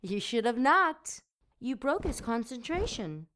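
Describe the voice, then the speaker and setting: A woman's voice: on the high side, big pitch swings, a bit bright, slight background noise. An actress playing a taunting, seductive female demon in an audio drama, mocking and coldly murderous. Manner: slow, mocking, menacing.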